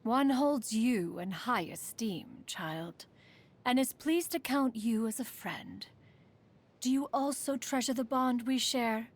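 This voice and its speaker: A woman's voice: a little high, slow pace. A woman voicing a dignified, haughty yet caring immortal adeptus in a video game, with formal, archaic diction. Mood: solemn, fond. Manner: formal, lofty, archaic diction, calls oneself "one". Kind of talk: scripted video game character voice lines.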